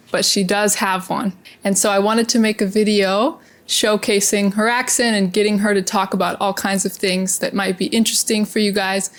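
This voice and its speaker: Female host, American accent, mid-range pitch, casual interviewer and explainer for language learners. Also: a bit bright. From Maine, USA. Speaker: host of an English-learning channel.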